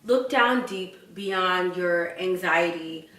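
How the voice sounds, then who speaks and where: A woman's voice: on the low side, slow pace, bright, forceful tone, reverberant, distant mic. A woman with a stutter, talking in a casual, reflective personal chat to her viewers, with fillers and restarts. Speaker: vlogger.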